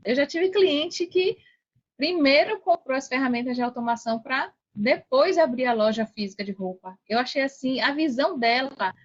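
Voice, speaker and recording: A Brazilian woman talking casually as a podcast guest, telling her experiences in an animated way and often seeking agreement. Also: sits high, normal speed. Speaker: podcast guest, affiliate seller. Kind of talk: casual conversational storytelling. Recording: dull, band-limited sound.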